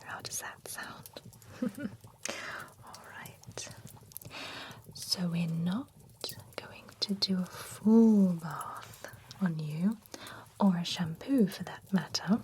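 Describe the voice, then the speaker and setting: A woman's voice: lowish voice, drawn-out words, long pauses, a little hiss or hum. A woman whispering an ASMR roleplay as a female vampire spa groomer who chats politely while she trims a client's hair. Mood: soothing. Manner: soft whisper, polite salon chatter.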